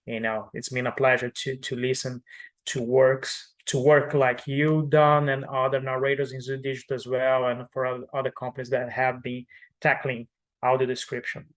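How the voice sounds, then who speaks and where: A man's voice: medium pitch, little pitch movement, moderate pace. A man with a Brazilian Portuguese accent, a native Portuguese speaker, giving thoughtful interview answers with frequent fillers and restarts. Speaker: audio description manager.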